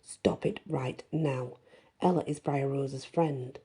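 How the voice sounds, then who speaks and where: Lady reading as hot-headed teen werewolf guy, stern and confrontational, low dark husky voice, fantasy story. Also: slow pace, full character voice. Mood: angry, protective. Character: teenage male werewolf warden, protective, hot-headed. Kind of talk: first-person fantasy audiobook dialogue.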